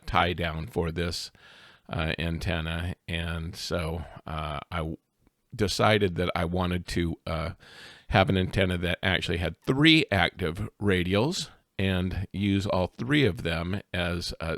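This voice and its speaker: A man with a deep voice talks through his hobby project in a relaxed how-to style. He speaks slowly, stopping often for ums and uhs. The sound is dry and close. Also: dry recording. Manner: hesitant, many filler sounds. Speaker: hobbyist video maker. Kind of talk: casual how-to narration. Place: outdoors in the desert.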